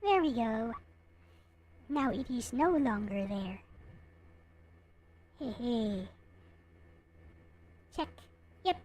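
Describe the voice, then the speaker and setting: A woman's voice: fairly high pitch, animated, drawn-out words, pauses often, darkish tone, slight background noise. A woman chatting casually to her viewers as she livestreams a game, talking to them directly. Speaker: live streamer. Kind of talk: casual livestream chat while playing and reading aloud a visual novel. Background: game music.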